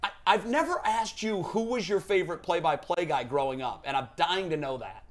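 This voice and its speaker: A man with a somewhat high-pitched voice hosts a sports show. He asks his questions in a casual, conversational interview style. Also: a little fast.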